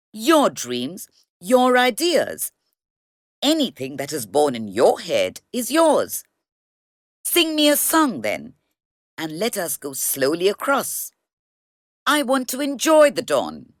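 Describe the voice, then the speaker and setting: Woman voicing a commanding, slow-spoken important man. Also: on the low side, wildly swooping pitch, no room sound, studio quality, exaggerated voice. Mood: kindly commanding. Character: commanding, important man, a kindly regal boat passenger. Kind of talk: children's storybook read-aloud.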